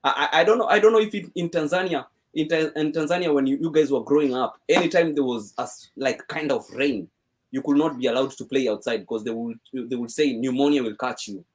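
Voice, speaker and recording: A man's voice: medium pitch, fairly quick. A man hosting a live stream in casual chat, upbeat and often repeating words.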